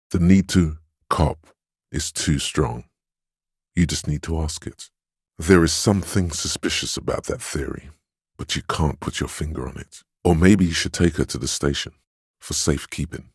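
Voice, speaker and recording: A man voicing a stern, steadfast, protective inner voice of willpower in a video game, speaking in a measured, commanding, admonishing way. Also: no echo, clean studio sound, exaggerated voice. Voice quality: very deep, smooth, resonant. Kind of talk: dramatic second-person game narration.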